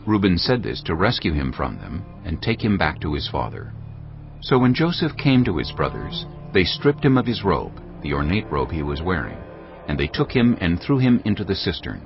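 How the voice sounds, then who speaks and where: A man narrating scripture in a measured, expressive dramatic style. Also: deep voice, gravelly. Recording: dull audio over background music.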